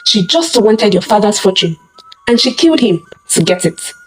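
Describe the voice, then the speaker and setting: A woman's voice: medium pitch, big pitch swings, moderate pace, faint music behind, close to an everyday voice, low-quality recording. A woman storyteller voicing a wronged woman back for revenge, cool, resolute and declamatory in a dramatic folktale. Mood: cold accusation.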